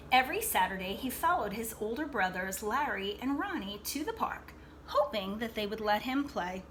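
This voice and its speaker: Slow, expressive woman reading to children. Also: on the high side, slight background noise. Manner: expressive, explanatory, checks in with listeners. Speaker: teacher reading a picture book aloud to children. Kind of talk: read-aloud storytelling with asides to young listeners.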